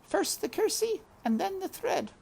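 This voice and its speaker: A woman voicing an earnest, slow-witted, hard-of-hearing servant girl in a storybook, with rustic speech and a shouty, muttering manner. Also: very high-pitched, sing-song, creaky, voice pushed up, full character voice.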